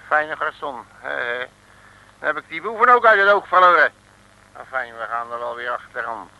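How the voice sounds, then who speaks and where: An actor playing a brash, bumbling, self-assured Dutch detective in an old comic radio serial, blustering in colloquial slang with a Dutch accent. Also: male voice, fairly high pitch, expressive, moderate pace, bright, forceful tone, full character voice. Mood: cocky, indignant. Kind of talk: 1950s-60s comic radio serial acting. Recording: noisy old radio recording.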